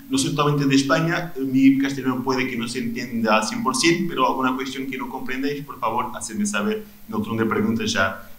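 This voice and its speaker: A man's voice: mid-range voice, a bit bright. Hesitant man, echoey hall. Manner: hesitant, many fillers and restarts. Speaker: keynote speaker. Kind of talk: conference talk. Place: lecture hall. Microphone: microphone.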